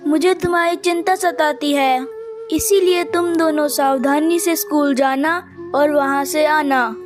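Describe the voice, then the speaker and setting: A very high-pitched little cartoon bird. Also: female voice, fairly even intonation, unhurried, music in the background. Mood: earnest caution. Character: small birds: anxious mother and her cautious chicks. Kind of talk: children's cartoon moral story acting.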